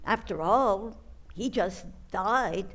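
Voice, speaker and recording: A woman's voice: mid-range voice, slow, fairly bright tone, a little hiss or hum. A woman playing a kindly, dotty old aunt in an old-time radio comedy, cosy, innocent and fussily precise.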